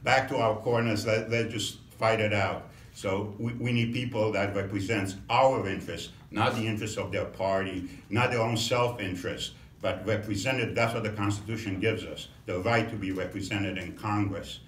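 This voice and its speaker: A middle-aged man from Romania, a congressional candidate, giving a measured campaign introduction with frequent pauses and fillers. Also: fairly low pitch, steady tone, a little hiss or hum. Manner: measured, halting, many fillers. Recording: echoey room, mic at a distance.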